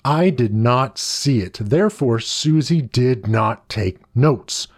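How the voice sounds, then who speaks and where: A man's voice: medium pitch, animated, moderate pace, a little mellow, husky. A man playing a domineering, self-righteous male schoolteacher in a story, stern and emphatic, drawing out his words. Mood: stern accusing. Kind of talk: scripted story dialogue.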